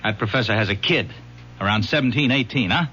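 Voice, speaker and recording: An actor playing a stubborn, eager young police detective, streetwise and argumentative, in 1970s radio drama style. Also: male voice, on the low side, fast, bright, forceful tone. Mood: insistent, pleading. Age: young adult. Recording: dull vintage radio sound, faint noise.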